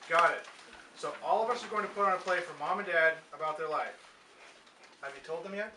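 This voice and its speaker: A man's voice: fairly high pitch, a little fast. A man performing a scripted amateur family skit, recorded live at a gathering with noise behind him. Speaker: puppet show performer at a family reunion. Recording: distant, echoey, noisy home video of live show.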